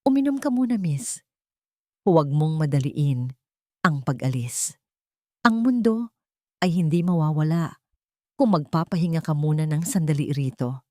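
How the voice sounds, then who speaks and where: A woman with a Filipino accent voicing a gentle, soft-spoken male stranger who consoles in an audio story. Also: low-pitched, lively intonation, unhurried, pauses often, no room sound, studio quality. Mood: calm, caring.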